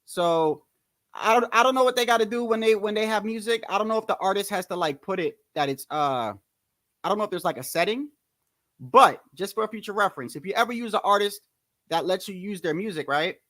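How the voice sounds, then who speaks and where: A man with a New Jersey accent and a high-pitched voice, hosting a casual boxing livestream in a rambling, slangy way, repeating himself often. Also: fairly quick, fairly bright tone, no room sound, clean studio sound. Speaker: boxing commentary livestream host.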